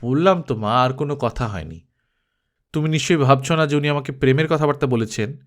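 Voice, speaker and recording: A man's voice: mid-range voice, animated, brisk, lightly acted. Man reading as a guarded, sardonic young woman. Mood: curt. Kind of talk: Bengali audiobook character acting.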